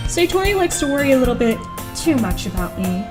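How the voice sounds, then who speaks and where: Woman doing high dry teen girl, anime audio drama type, grudging n exasperated but soft underneath, bit of music behind. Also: sing-song, fairly quick. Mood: wry, resigned. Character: reluctant, exasperated high school girl gamer, privately soft-hearted. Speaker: narrator and lead character in an audio drama. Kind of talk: first-person narration and anime-style dialogue.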